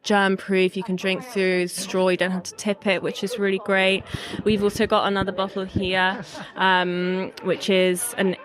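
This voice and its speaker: A young woman representing a brand, pitching products fluently and upbeat in a trade show interview. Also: completely flat delivery, moderate pace, a crowd in the background. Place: busy trade show hall.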